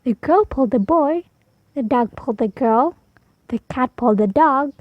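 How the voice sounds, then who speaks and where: A woman storyteller reading a picture book aloud for preschoolers, animated, drawing out key words for emphasis. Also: high-pitched, moderate pace, dry recording, highs cut off. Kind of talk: expressive read-aloud for preschoolers.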